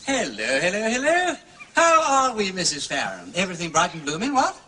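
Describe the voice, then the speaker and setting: An actor playing a breezy, talkative aristocratic gentleman sleuth in a radio drama, with an upper-class English accent and an effusive manner. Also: high voice, wildly swooping pitch, fairly quick, a little hiss or hum, full character voice. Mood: jovial, effusive. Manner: effusive, affectedly jolly and chatty.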